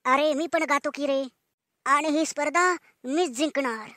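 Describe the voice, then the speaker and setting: A man's voice: extremely high pitch, unhurried, bright, forceful tone, dry recording, studio quality, heavily acted. A man voicing a brash, boastful male cartoon contestant with a blustering, colloquial manner. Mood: indignant, boastful. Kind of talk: comic cartoon acting.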